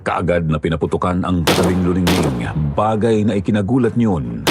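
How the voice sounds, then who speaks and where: A man narrates a scripted horror story in a measured, steady way. His voice sits low. Soft music plays beneath him.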